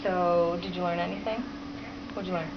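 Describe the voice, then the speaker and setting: A woman's voice: on the low side, fairly even intonation, moderate pace. A woman reads aloud to children as a teacher would, asking questions and encouragingly repeating their answers. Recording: dull, lo-fi home recording with clear background noise.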